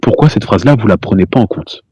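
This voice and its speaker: A man's voice: fairly low pitch, expressive, fast talker, warm tone, breathy edge, no room sound, muffled recording. A man streaming from home, arguing heatedly with a caller, repeating himself, talking over the other person and throwing insults. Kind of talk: heated live-stream argument.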